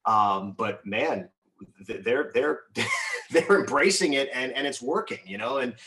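A man's voice: medium pitch, lively intonation, measured pace, pushed and bright, breathy edge, poor audio. An American man who works as a radio sports announcer, giving conversational analysis in an emphatic, discursive way, thinking aloud as he goes.

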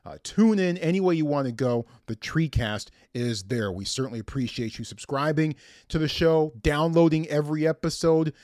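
A middle-aged man hosts a sports podcast in a somewhat high voice. His delivery is upbeat and punchy, with an announcer's emphasis on key words. The sound is very dry, with little room around the voice. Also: lively intonation, moderate pace, darkish tone, dry recording. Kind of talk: scripted sports podcast presenting.

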